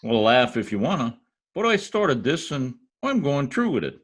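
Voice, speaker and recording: An actor playing a cocky, bumbling husband with folksy slang, in old-time radio comedy style. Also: male voice, mid-range voice, sing-song, normal speed. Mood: stubborn bluster. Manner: folksy slangy speech, blustering. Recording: husky-sounding video call recording with music.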